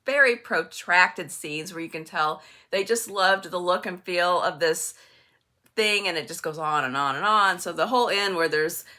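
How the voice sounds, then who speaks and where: A woman's voice: on the low side, normal speed, pushed and bright. An older woman chatting casually in a book vlog, conversational and wry, with some self-deprecating humour. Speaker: book vlogger and co-host.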